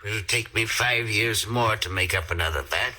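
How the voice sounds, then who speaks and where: An actor playing an elderly, agitated scientist guarding his life's work in an old radio drama, with a raspy voice. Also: fairly low pitch, moderate pace, sharp tone, a little hiss or hum. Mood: wary, anxious. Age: older. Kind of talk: 1940s radio acting.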